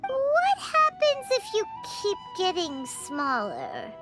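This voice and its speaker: A woman voicing a tiny, excitable childlike fairy companion in an anime video game, earnest, anxious and emphatic. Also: extremely high pitch, takes it slowly, full character voice. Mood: worried. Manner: emphatic, exclamatory, refers to self in third person. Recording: loud music under the voice.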